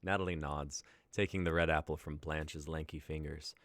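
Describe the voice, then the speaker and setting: Young guy narrating a story, super low voice, close and dry sound. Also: fairly even intonation, moderate pace, fairly bright tone, no echo. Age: young adult. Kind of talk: scripted story narration, stage directions.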